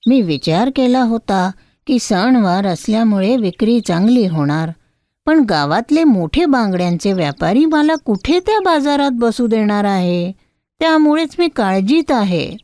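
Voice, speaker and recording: A woman voicing a humble, devout village bangle seller man in a storybook, slow and earnest. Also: mid-range voice, lively intonation, warm tone, no echo, close to an everyday voice. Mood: worried. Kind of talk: storybook character voice.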